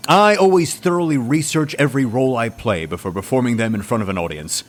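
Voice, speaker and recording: A man's voice: mid-range voice, fast talker, close to an everyday voice. A man playing a vain, pompous male agency member in a comedy audio drama, with an affected, theatrical delivery. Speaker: Bowden Montcrief.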